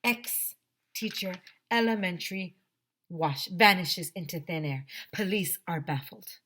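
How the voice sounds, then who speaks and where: A woman voicing an excitable newspaper reporter who is hungry for a scoop, in a children's storybook reading. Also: lowish voice, unhurried, a little hiss or hum, voice pushed up. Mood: gleeful, excited.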